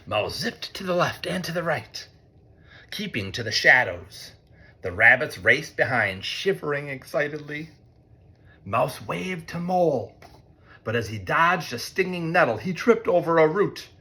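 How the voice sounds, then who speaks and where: A man reads a storybook aloud for children. His delivery is slow and very animated, with a bright, forceful tone and playful asides to the listeners. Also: fairly high pitch. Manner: expressive, playful, pausing to ask listeners. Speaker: read-aloud storyteller, teacher. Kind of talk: interactive storybook narration for children.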